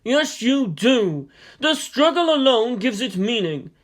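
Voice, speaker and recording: Guy doing a ghost of a dead strategist, raised pitch, solemn and stately, story reading. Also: sing-song, full character voice. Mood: solemn, urging. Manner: stately, measured exhortation.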